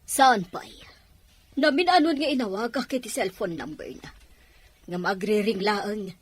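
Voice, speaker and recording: A woman's voice: medium pitch, a little slow, husky, faint music behind. An actress playing an anxious, reproachful middle-aged mother in a radio drama, speaking fretfully. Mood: worried. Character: anxious, reproachful mother worried about her missing daughter.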